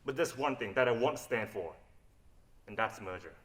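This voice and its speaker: A man's voice: medium pitch, moderate pace, a bit bright, natural voice. A young man acting a roguish, bitter adventurer in a stage play, dramatic and confrontational. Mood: angry, defensive. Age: young adult. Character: roguish, cynical male adventurer, defensive and bitter. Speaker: student stage actor.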